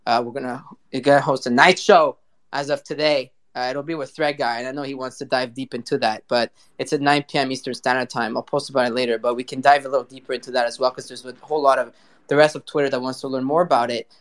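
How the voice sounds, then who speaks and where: A man's voice: medium pitch, fairly even intonation, fast talker, a bit bright. A man hosting a live audio chat show, talking casually in slang and often repeating his words as he goes. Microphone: remote live audio call.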